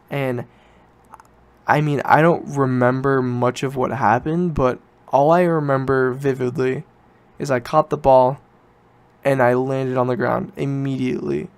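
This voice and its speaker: A man's voice: medium pitch, smooth, no echo. A man in his late teens chatting casually as a solo podcast host, informal and rambling, often correcting himself.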